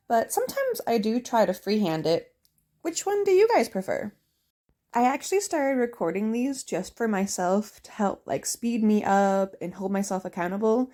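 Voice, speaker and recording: A young woman narrating a journaling video in a chatty, upbeat voice-over, slightly hoarse from a cold. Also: on the high side, darkish tone. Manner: chatty, upbeat, talks straight to viewers. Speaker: bullet journal vlogger.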